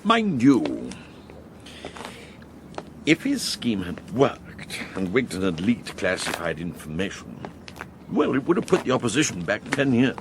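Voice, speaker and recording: An actor playing an urbane intelligence agent in a radio drama, languid, arch and plummy, with an upper-class English accent. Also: male voice, on the low side, wildly swooping pitch, measured pace, background noise, exaggerated voice. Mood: amused, urbane.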